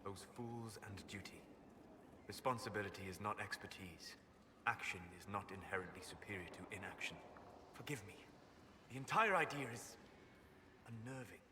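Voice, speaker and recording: A man's voice: fairly low pitch, animated, moderate pace, long pauses, in a large hall, noisy background, natural voice. An actor voicing an anxious, solitary male warden who talks in agitated bursts and then broods. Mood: earnest.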